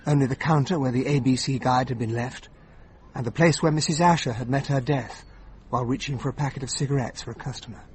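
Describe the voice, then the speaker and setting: A man playing an earnest, loyal English gentleman who narrates and acts as a detective's companion in a radio drama, with a British accent. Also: medium pitch, fast talker, slight background noise, close to an everyday voice. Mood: reflective narration.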